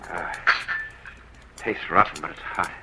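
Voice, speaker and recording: A man's voice: low voice, a little slow. An actor playing a tense, hunted young man who talks in a clipped, guarded way, in 1940s radio acting style, on a hissy old radio recording. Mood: weary.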